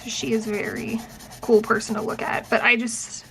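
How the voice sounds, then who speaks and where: A woman's voice: a little high, level tone, normal speed, creaky, pushed and bright, a little hiss or hum, rough recording. A young adult woman talking casually to camera, chatty and full of fillers and restarts. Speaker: vlogger and professor. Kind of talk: casual chat.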